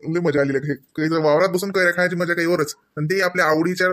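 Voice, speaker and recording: A man's voice: a little high, steady tone, fast talker, fairly bright tone, natural voice. A man voicing a teasing, affectionate young husband in a comedy cartoon, chatting in a rural Marathi accent. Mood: playful praise.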